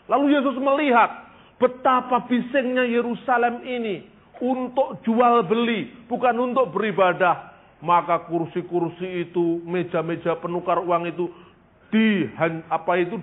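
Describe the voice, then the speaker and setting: A man's voice: high voice, unhurried. A man from East Java in his fifties preaching, telling Bible stories and history emphatically, repeating phrases and stretching stressed words. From East Java, Indonesia. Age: 50s. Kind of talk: sermon with Bible storytelling and history lesson. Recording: tinny, band-limited speech recording.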